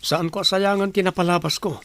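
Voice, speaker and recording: A man's voice: sits high, steady tone, a little fast, fairly bright tone. A man acting in an Ilocano radio drama as a newly confident young grandson. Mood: resolute, bitter. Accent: Filipino, Ilocano. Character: young grandson, newly confident, bitter about past looks.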